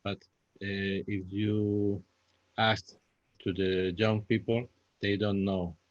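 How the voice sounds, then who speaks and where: Deep, halting Spanish-accented man. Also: flat delivery. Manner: halting, searching for words, repeating. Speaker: playwright guest. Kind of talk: panel Q&A answers in a second language. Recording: dull lo-fi, echoey room sound.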